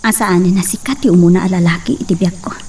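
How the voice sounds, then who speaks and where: A woman acting a heartbroken, distrustful young woman in a romantic drama, with drawn-out emotional delivery. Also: on the low side, a little mellow, far from the mic, a little hiss or hum, lightly acted. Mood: bitter challenge. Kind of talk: Ilocano romantic radio-style drama acting.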